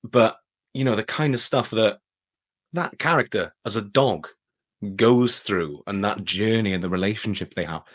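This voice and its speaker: A man's voice: on the low side, normal speed, a bit bright. A man, a voice actor chatting casually as an interview guest. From Wales.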